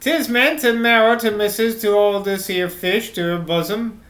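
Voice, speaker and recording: Old rustic fisherman played by a man, drawling, bright tone. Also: high-pitched, normal speed, reverberant, voice pushed up. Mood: earnest. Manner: drawling, repeats "sir" constantly. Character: old male rustic fisherman, stubborn and fussy.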